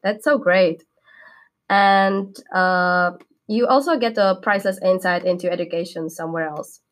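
A woman's voice: fairly low pitch, a little slow. Young woman, Czech accent, upbeat student guest, easy laugh. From Czech Republic. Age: young adult. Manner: upbeat, laughs often, careful non-native English. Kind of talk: casual podcast chat.

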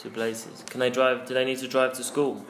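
A man's voice: mid-range voice, level tone, normal speed, a bit bright. A man interviewing a traveller, asking curious follow-up questions in a casual chat. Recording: echoey room with faint noise.

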